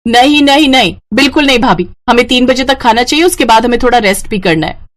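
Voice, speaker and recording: A woman's voice: a little high, expressive, fast talker, voice pushed up, close to an everyday voice. A woman voicing a demanding, whiny young married sister-in-law in a moral story. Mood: bossy. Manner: whiny, pushy.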